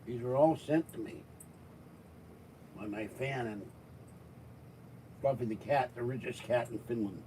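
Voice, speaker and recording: An older American man hosting his own channel, talking in a rambling, casual way with jokey asides and frequent throat-clearing. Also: mid-range voice, measured pace, pauses often, dark tone, slight background noise, poor audio. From Pittsburgh, United States. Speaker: YouTube channel host. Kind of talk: rambling casual monologue to viewers.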